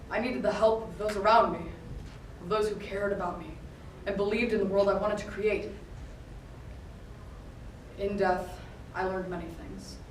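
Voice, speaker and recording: A woman playing an idealistic male troll martyr, delivering a solemn, measured, oratorical monologue at a convention. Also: mid-range voice, natural voice. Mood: earnest, impassioned. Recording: echoey convention room, distant mic, noisy.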